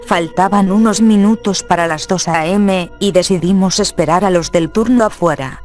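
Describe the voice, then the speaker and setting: Horror narration, calm, unhurried, middle pitch, faint music. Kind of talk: scripted horror story narration.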